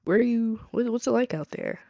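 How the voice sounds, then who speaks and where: A podcast host from the United States talks in a loose, slangy, upbeat way, her voice catching in a gravelly fry at the ends of phrases. The sound is dry and close, with no room around it. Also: on the high side, animated, a little fast, mellow, dry recording. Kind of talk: casual interview chat.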